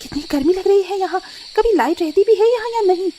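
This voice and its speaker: A haughty young cartoon sister-in-law, acted by a woman, whines in a very high, pushed-up voice. She snaps and sulks like someone who thinks village life is beneath her. There is clear background noise on the recording. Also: animated, quick pace, warm tone. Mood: annoyed, scornful. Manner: whiny, snappish complaining. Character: sulky, haughty young city-minded sister-in-law, complaining.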